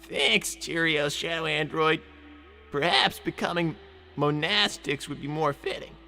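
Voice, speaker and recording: A man voicing an anxious, excitable young fox sidekick, flustered and stammering over repeated words, improvising in character on a livestream. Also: on the high side, a little slow, gravelly, faint music behind, heavily acted. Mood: earnest, hesitant.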